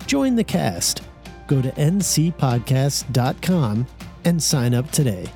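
A man reads a scripted promotion for the show in an upbeat, brisk delivery. His tone is mellow and warm, with faint music playing underneath. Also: medium pitch, very animated. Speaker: executive producer.

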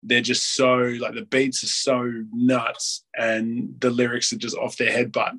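A man's voice: medium pitch, level tone, a little slow, sharp tone. Rambling man in his late twenties. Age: late 20s. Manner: rambling, heavy use of "like", acts out quotes. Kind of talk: casual podcast chat.

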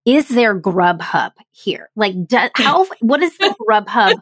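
A woman hosting a podcast interview, enthusiastic and quick to laugh along with her guests. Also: a little high, sing-song, fairly bright tone, no room sound, studio quality. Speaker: podcast host.

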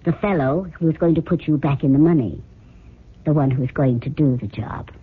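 A woman's voice: sits low, normal speed, noisy background, natural voice. A woman playing a cold, persuasive scheming girlfriend in a 1970s radio drama, insistent and matter-of-fact. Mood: coolly persuasive. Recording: lo-fi phone-like old radio recording.

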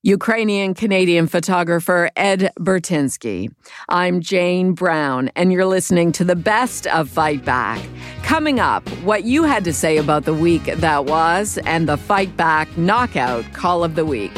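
A woman hosting a radio show, reading scripted links between segments in a crisp, measured way. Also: lowish voice, expressive, soft background music. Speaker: radio host.

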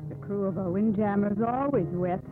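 A woman's voice: medium pitch, moderate pace, music in the background, close to an everyday voice. Actress as a headstrong young seafaring woman, tinny radio. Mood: lyrical narration. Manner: insistent, poetic. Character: headstrong young sea captain's daughter of 1845. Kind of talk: 1950s radio drama acting. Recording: muffled, narrow-band old radio broadcast.

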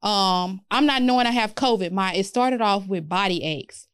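Young woman, stuffy, rambling story on a podcast, smooth clean voice, dry room. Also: medium pitch, normal speed, no echo. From Houston, Texas. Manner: candid storytelling, lots of "like" and "literally". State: stuffy, congested. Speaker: podcast co-host. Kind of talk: casual chat.

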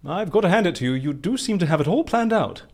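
An eccentric plotter with a husky voice that leaps about in pitch. He is excitable and theatrical, brisk with questions and gleeful about his schemes, as in an audio play. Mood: amused. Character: eccentric, excitable schemer who stages fake deaths. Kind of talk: audio drama dialogue.

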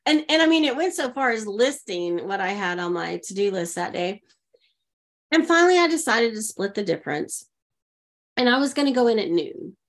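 A woman's voice: fairly high pitch, big pitch swings, normal speed. A middle-aged woman from Oklahoma, a guest telling her story animatedly and acting out the voices she quotes. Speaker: podcast guest, leadership coach. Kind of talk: storytelling interview.

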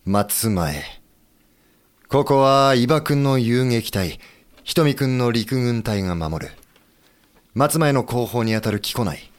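An actor playing a dignified, decisive samurai-era leader with a firm, deliberate manner in a period audio drama. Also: male voice, mid-range voice, expressive. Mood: grave, decisive.

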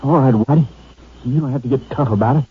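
An American actor playing a sullen, uneasy young rural Texan with folksy, grudging speech in a 1950s radio drama. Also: male voice, mid-range voice, fast talker, lightly acted. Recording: tinny, noisy old 1950s radio recording.

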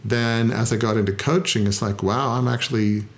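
A middle-aged man talking in an interview, reflective and self-deprecating, often pausing with "uh" as he thinks. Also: mid-range voice, steady tone. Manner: thoughtful, self-deprecating, frequent "uh" pauses. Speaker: founder and interview guest.

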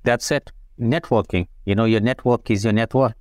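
A man's voice: medium pitch, fairly even intonation, moderate pace, a little mellow, no room sound, studio quality. A man from South Africa in his forties, a photographer and business owner, talking casually in an interview with plenty of fillers and repeated words.

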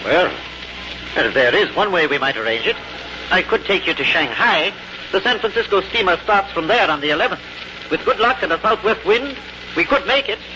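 Highish-voiced, practical boat skipper. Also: male voice, lively intonation, moderate pace. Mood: wary, then obliging. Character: plain-spoken working pilot-boat skipper, respectful and practical. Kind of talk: 1950s radio drama acting. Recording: dull, noisy old radio recording.

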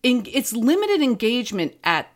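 A woman's voice: a little high, very animated, normal speed. A woman being interviewed as a podcast creator, chatting casually and acting out her own thoughts as she tells stories.

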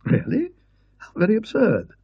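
A prim middle-aged professor lectures in a precise, fussy manner, as a man voices him. His pitch leaps about with lively inflection, and the voice has a husky edge in a dull, lo-fi recording. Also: normal speed, no room sound, exaggerated voice. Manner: precise, fussy, slightly hesitant.

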